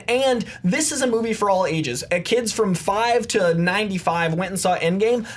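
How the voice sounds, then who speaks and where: Male contestant in a pop-culture debate, notably high voice, emphatic, persuasive, punchy delivery of listed points.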